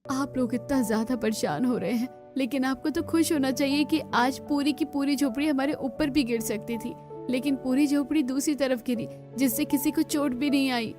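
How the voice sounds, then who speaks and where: Woman playing a high-voiced, hopeful young daughter-in-law. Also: normal speed, over music, natural voice. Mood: reassuring. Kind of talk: cartoon story dialogue.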